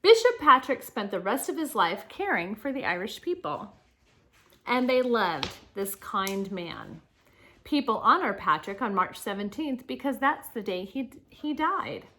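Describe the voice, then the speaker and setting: Animated woman reading aloud to children. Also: medium pitch, a little slow, a little hiss or hum. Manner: addresses young listeners directly, explanatory. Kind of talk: children's picture-book read-aloud with casual asides.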